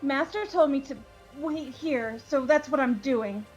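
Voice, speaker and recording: Woman acting high-pitched, moody, slow-talking anime game girl. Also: lo-fi sound, soft background music. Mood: nervous, defensive. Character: timid, stammering, devoted young woman with a brash sadistic other self. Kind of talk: amateur voice acting of a visual novel game.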